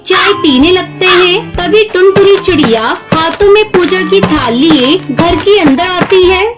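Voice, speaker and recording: A woman with an extremely high voice narrates a scripted children's story. Music plays clearly behind her narration. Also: measured pace.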